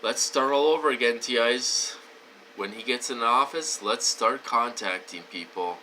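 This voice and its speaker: A man's voice: medium pitch, a little slow, pushed and bright, a little hiss or hum, poor audio. A man talking casually to his viewers, wheezy and short of breath, rambling with many fillers and restarts. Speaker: vlogger. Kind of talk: casual talk to camera.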